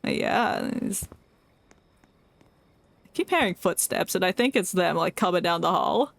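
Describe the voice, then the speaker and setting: A woman's voice: on the low side, expressive, a little slow, gravelly, no echo. A woman giving casual live game commentary, giggly and chatting away to herself and to the characters in the game. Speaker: game streamer. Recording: background music under voice.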